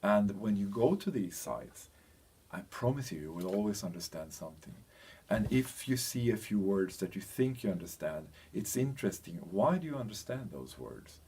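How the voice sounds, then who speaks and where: A man giving an explanatory training presentation, speaking in a hesitant, halting way as he searches for words. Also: on the low side, big pitch swings, warm tone, slightly rough. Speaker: presenter of a language training package. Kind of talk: explanatory presentation, loosely scripted.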